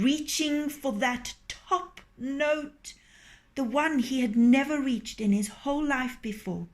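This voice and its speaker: A woman reading a children's story aloud as storyteller, in an expressive, unhurried way. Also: medium pitch.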